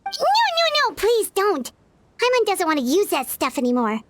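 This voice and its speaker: A tiny, excitable girlish companion in a video game. The voice is extremely high and squeaky, with lively swings in pitch. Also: moderate pace, dry recording, exaggerated voice. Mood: flustered. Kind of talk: video game character dialogue.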